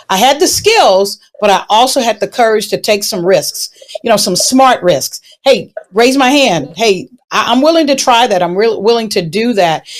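Woman host with a higher voice, upbeat and encouraging, prompting her guest. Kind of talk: conversational interview.

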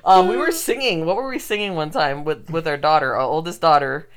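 A middle-aged woman with a low, deep voice for a woman, though her pitch swings widely as she talks. She chats casually and laughs a lot as a podcast co-host. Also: fairly quick. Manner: laughs often, chatty and animated. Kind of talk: casual chat.

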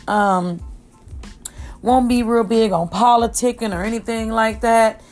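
A woman with a raspy voice hosting her own podcast from home, rambling casually and full of slang. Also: fairly high pitch, steady tone, measured pace, darkish tone, soft background music. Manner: slangy, chatty, addresses listeners as y'all. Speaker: podcast host.

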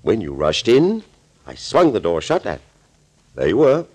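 An actor playing a suave, gloating villain doctor in an old radio thriller, urbane, theatrical and mockingly courteous. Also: male voice, on the low side, wildly swooping pitch, a little slow, darkish tone, breathy edge, exaggerated voice. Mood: gleeful, boasting. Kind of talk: 1940s radio acting. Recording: old scratchy 1940s radio recording.

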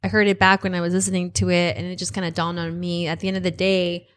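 A woman's voice: lowish voice, little pitch movement, fast talker. A woman hosting a casual podcast, speaking in a candid, self-deprecating way. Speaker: podcast host.

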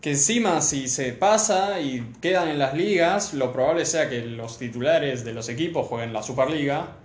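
A man's voice: fairly high pitch, normal speed. A young man with an Argentine Rioplatense accent chatting casually and colloquially about sport, giving blunt opinions. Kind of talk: casual sports chat with friends. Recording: roomy, echoey room.

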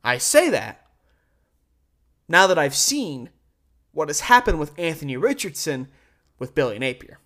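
A man's voice: a little high, big pitch swings, measured pace, long pauses, fairly bright tone. An American man hosting a sports show, delivering an opinionated solo commentary in an emphatic, conversational way. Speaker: sports podcast and radio host.

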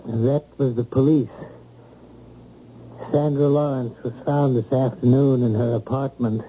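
Actor as grave doctor, very dark, unhurried voice. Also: male voice, medium pitch, fairly even intonation, natural voice. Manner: measured, formal. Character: concerned, grave, courteous male doctor. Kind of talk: old-time radio drama acting. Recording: dull, hissy old radio recording.

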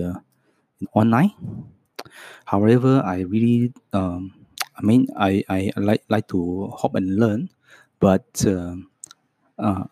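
Slow, soft-spoken Hong Kong-accented man. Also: on the low side, no room sound. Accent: Hong Kong Cantonese. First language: Cantonese. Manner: slow, soft, halting with many fillers and repeats. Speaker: podcast host. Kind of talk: reading a self-introduction script.

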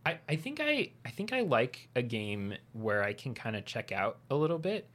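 A man's voice: medium pitch, huge pitch swings, normal speed, fairly bright tone. A man co-hosting a casual podcast about video games, talking with frequent restarts and fillers and laughing easily. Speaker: podcast co-host.

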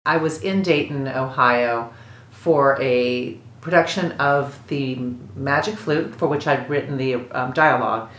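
A woman's voice: very deep voice, measured pace, a bit bright. A woman with an American accent, recounting a personal anecdote as an interview guest in an echoing room. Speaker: opera librettist, interview guest. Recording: roomy, echoing interview sound.

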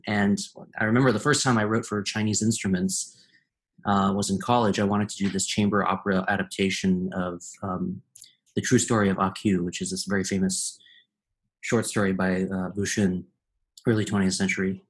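A man talks as a guest in an easygoing conversation, his voice sitting a little low. He speaks thoughtfully, pausing and reaching for the right words as he goes. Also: little pitch movement, low-quality recording. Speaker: guest composer. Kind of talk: casual conversation.

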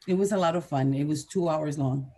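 Expressive woman in her forties, chatting casually. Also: sits low, fairly quick, a crowd in the background. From Venezuela, then New Jersey from age eleven. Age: 40s. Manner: expressive, repeats words, acts out quotes. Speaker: podcast guest, herbalist teacher. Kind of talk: casual conversational storytelling.

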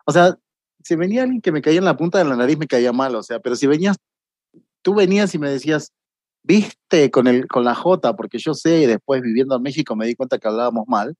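A man's voice: mid-range voice, lively intonation, a little fast, darkish tone, no room sound. A man from Argentina with an Argentine accent, chatting casually as a guest, with many fillers. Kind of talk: casual conversation.

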